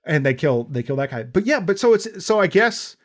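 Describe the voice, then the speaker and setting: A man co-hosting a podcast, chatting casually about a movie, animated, laughing often and acting out quotes. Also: fairly high pitch, a little fast. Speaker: podcast co-host. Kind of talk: casual chat reviewing a movie.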